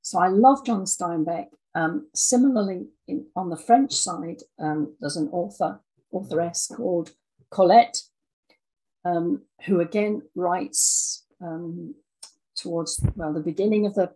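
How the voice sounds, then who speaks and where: Gravelly, husky older woman. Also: lowish voice, warm tone, poor audio. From Scotland. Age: 60s or older. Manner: thoughtful, halting with repeated words. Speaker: interview guest, audio dramatist. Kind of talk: reflective interview conversation.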